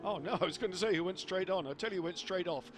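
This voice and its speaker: A man giving live motorsport commentary, excitable and quick to lift his voice at each incident on track. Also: sits high, over music. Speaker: race commentator. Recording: loud engine noise behind. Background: racing engines.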